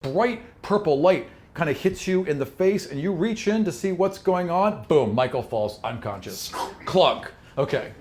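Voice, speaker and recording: A man narrating a tabletop role-playing game as game master, improvising in a casual, animated way. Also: on the high side, measured pace. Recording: faint background noise.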